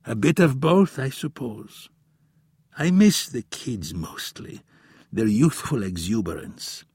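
A man voicing a raspy, frail old professor. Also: mid-range voice, sing-song, a little mellow, dry recording, studio quality, full character voice. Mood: weary. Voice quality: raspy, slightly unsteady. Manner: slow, weary, impassioned. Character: frail elderly male professor, embittered and grave. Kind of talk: audiobook character voice.

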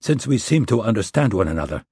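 Man narrator doing a ruthless male squad leader, curt commands, husky dark voice, dry close sound. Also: lowish voice, sing-song, fast talker, no echo, heavily acted. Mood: menacing.

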